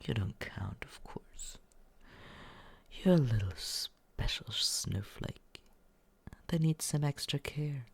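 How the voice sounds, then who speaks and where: A young man voicing a smug young man who teases and quietly looks after the listener, in a close, soft, whispered roleplay. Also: medium pitch, lively intonation, slow pace, gravelly, breathy edge, heavily acted. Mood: teasing. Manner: teasing, condescending, soft whispering. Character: smug teasing young man, mockingly caring. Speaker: ASMR roleplay performer.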